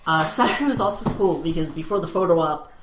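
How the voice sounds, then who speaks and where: Male podcast co-host, casual and meandering, halting delivery, roomy recording with slight noise. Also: a little high. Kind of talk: casual chat. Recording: roomy echo, faint background noise.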